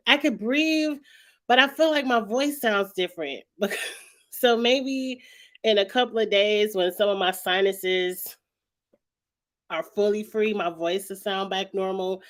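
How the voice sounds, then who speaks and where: Young woman with a slight cold rasp, loose chatty vlog delivery, recapping reality TV. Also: medium pitch, slow, pauses often, a bit bright. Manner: chatty, laughs and clears throat often. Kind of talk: casual vlog chat.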